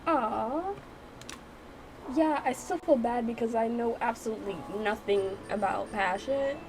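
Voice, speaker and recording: A woman's voice: a little high, measured pace, noisy background. A woman hosting a gameplay reaction, giggly and breaking into asides over music. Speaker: gaming channel host reacting to a visual novel. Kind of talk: gameplay reaction with playful read-aloud. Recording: music behind the voice.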